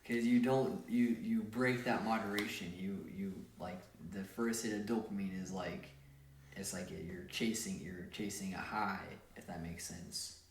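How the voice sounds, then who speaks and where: A young man chats loosely, restarting and repeating words as he goes. His voice is slightly low and sounds set back from the microphone. The room adds a clear echo. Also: fairly even intonation, measured pace, recorded from a distance, a little hiss or hum. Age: young adult. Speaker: podcast co-host. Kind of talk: casual podcast chat. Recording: echoey room, away from the mic.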